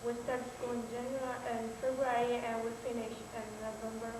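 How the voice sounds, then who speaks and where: A teenage girl from Paraguay, a native Spanish speaker, describing her country in halting speech as an exchange student. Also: on the high side, flat delivery. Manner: halting, searching for words. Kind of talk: short self-introduction speech. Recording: very echoey room, noisy.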